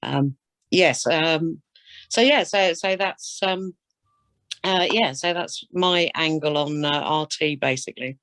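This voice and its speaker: A woman's voice: sits low, slow pace, fairly bright tone, no echo. A British woman getting over a cold, introducing herself and chatting casually in a hesitant, self-effacing way. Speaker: air traffic controller, flying instructor and radio examiner.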